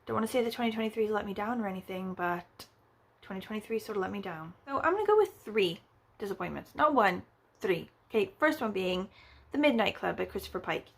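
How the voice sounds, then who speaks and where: Casual book vlogger, a woman with mid-range pitch; chatty, rambling, wry. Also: lively intonation, a little fast, vocal fry, husky. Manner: chatty, filler-heavy, rambling. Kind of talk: casual book review chat.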